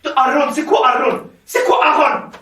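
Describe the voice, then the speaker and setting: An actor on stage playing an excitable young man who rants loudly and frantically. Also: very high voice, moderate pace. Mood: agitated. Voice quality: loud, frantic. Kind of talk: stage acting. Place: theater stage. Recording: echoey stage from far off.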